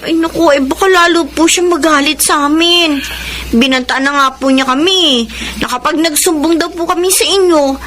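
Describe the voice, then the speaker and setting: An actress playing a timid, distressed young daughter in a Filipino radio drama, pleading and respectful. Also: female voice, high-pitched, moderate pace, fairly bright tone, slight background noise. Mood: anxious.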